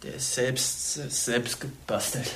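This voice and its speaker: A man's voice: medium pitch, level tone, slow, background noise, lightly acted. An actor playing a sullen, insecure teenage boy in a naturalistic German radio drama, defiant yet hesitant, answering tersely. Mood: sullen, evasive. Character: sullen, insecure teenage boy school shooter craving fame.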